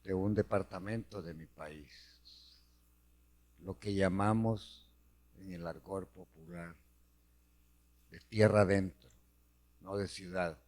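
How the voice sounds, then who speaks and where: A middle-aged man from Honduras, giving an earnest personal testimony of faith, slow and deliberate. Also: low-pitched, fairly even intonation, a little mellow.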